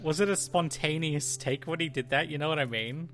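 A man's voice: fairly high pitch, a little fast, a bit bright, faint music behind. A young man co-hosting a casual podcast, joking and laughing often with disbelief. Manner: laughs often, jokey and incredulous. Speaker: podcast co-host. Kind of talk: casual banter over a game.

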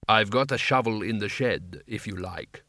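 A friendly, homely dwarf gardener with a lowered, soft voice, voiced by a male narrator. It is recorded dry and close. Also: normal speed, dry recording. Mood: cheerful.

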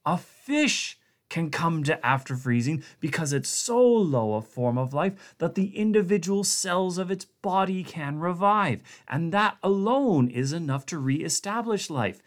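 A man voicing a small, excitable male scientist who lectures insistently. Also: high-pitched, normal speed, few pauses, voice pushed up, exaggerated voice. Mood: frantic.

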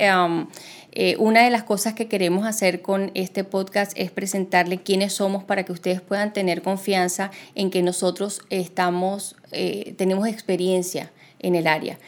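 Woman podcast co-host. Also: mid-range voice. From Argentina, raised in Venezuela. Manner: relaxed, halting with fillers. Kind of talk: casual podcast conversation.

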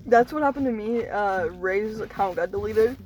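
A young woman co-hosting a casual car vlog, quieter and giggly, often trailing off mid-thought, recorded on a phone in a car. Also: mid-range voice, moderate pace, rough recording. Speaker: vlog co-host. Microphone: phone set down in car.

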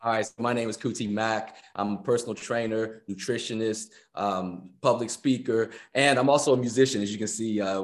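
An American man of about fifty chatting casually as an interview guest, talkative and repeating phrases for emphasis. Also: lowish voice. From United States. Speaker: guest, personal trainer and musician.

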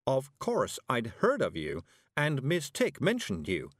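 A man voicing a composed, dryly stern female witch in a storybook, speaking in a measured, articulate way. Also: mid-range voice, huge pitch swings, no room sound, studio quality, voice pushed up. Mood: polite, curious.

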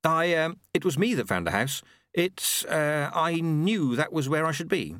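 A man's voice: a little high, lively intonation, unhurried, fairly bright tone, no room sound, studio quality, voice pushed up. A man voicing a naive, earnest and unsure male character in an audiobook, speaking hesitantly.

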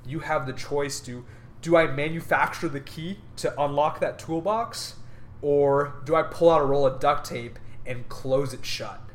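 Young man, casual podcast chat, earnest and rambling, faint hiss. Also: mid-range voice. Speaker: podcast co-host.

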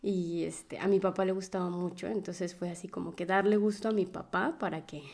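A woman's voice: lowish voice, fairly even intonation, brisk, a little mellow. A woman, a dance teacher, telling her story as a podcast guest in a chatty way, often adding "¿no?". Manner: chatty, fills with "¿no?" and "este". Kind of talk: casual interview answers.

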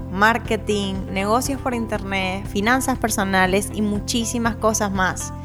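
A young woman from Cuba hosting her own finance podcast, telling her story in an upbeat, personal way. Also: mid-range voice. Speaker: podcast host.

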